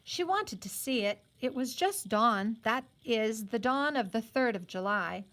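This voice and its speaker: An earnest young apprentice boy from a storybook, voiced by a woman. He speaks plainly and haltingly as a witness, in a higher-set voice. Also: expressive, fairly bright tone. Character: earnest teenage apprentice boy giving testimony.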